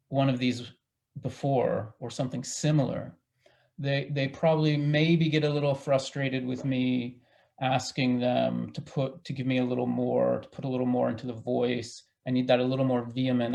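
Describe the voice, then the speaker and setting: A man speaking as a theater director in a panel discussion, halting and thinking aloud as he goes. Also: mid-range voice, creaky, darkish tone. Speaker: artistic director of a radio play company. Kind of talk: panel discussion, casual talk.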